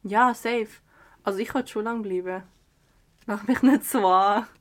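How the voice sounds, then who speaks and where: A woman's voice: medium pitch, a little slow, lightly acted. A woman with a Swiss German accent playing a self-conscious teenage girl in a youth radio play. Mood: nervous. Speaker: actor in an audio drama.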